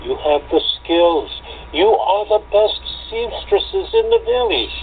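Man playing anxious, gravelly old-country father on tinny line. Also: high-pitched, wildly swooping pitch, takes it slowly, heavily acted. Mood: earnest, persuading. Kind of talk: amateur radio play acting. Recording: tinny phone-like line with noise.

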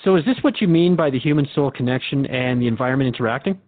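A man's voice: medium pitch, expressive, fast, no room sound, narrow, tinny audio, lightly acted. A man playing an earnest, puzzled radio host who asks reflective questions in a scripted drama interview. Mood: earnest, unsettled.

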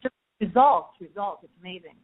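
A woman's voice: medium pitch, lively intonation, normal speed, crowd noise. A young Israeli-born woman, an acting student, calling in to a radio show and talking earnestly and upbeat about her classes. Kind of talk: casual phone interview. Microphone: telephone. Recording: tinny phone line.

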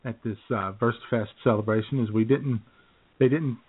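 A man's voice: medium pitch, fairly even intonation, normal speed, breathy edge. Male co-host for comedy radio: mid-range voice, casual joking talk, tinny narrow-band recording. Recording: thin phone-like band-limited radio audio.

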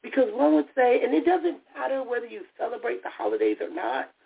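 A woman with a raspy voice, recovering from a cold, hosting a solo radio talk show as a therapist, speaking in a heartfelt, personal way with restarts and stammers. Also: a little high, wildly swooping pitch, brisk. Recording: tinny phone-line call-in audio.

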